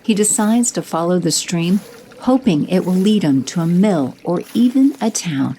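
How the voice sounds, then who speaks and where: A woman giving steady scripted narration for an audio drama. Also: on the low side, moderate pace, slight background noise, poor audio. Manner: steady, descriptive.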